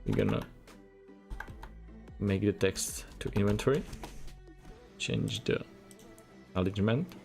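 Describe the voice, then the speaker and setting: A man's voice: on the low side, slow pace, vocal fry, pauses often, a little mellow, faint music behind. A man from the Czech Republic with a Czech accent, whose first language is Czech, walks through a coding tutorial in plain step-by-step instructions and keeps repeating "we're gonna". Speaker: programming tutor. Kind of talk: screen-recorded coding tutorial.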